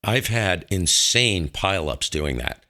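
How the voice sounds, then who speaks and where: American guy hosting a hobby chat, bright forceful voice, really drives his points home. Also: sits low, lively intonation, slow. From northern United States. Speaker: host of a ham radio show. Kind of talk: casual opinionated conversation about radio operating.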